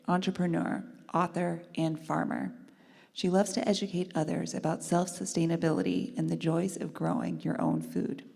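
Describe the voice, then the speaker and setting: A woman with a gravelly, husky voice reads a speaker's introduction in a measured way. Her tone is low and dark. She sounds distant, heard through a long hall echo. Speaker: event host introducing speaker. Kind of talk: formal introduction.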